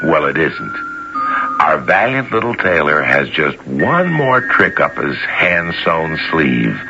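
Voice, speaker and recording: A man's voice: a bass voice, sing-song, takes it slowly. A man hosting and narrating a scripted radio story, theatrical and speaking straight to the listener. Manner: theatrical, addresses listeners directly. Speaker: host and storyteller. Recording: muffled 1970s radio recording. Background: loud music.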